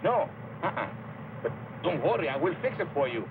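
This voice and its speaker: An actor playing a genial, self-important Mexican villager with an accent, mixing broken English and Spanish in an old-time radio drama. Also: male voice, fairly high pitch, big pitch swings, fairly quick. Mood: eager, boastful. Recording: noisy, dull old radio recording.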